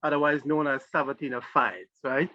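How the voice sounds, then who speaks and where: A man's voice: medium pitch, moderate pace, bright, forceful tone, dry recording, muffled recording. Emphatic male interview host. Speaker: show host. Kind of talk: hosting an interview show.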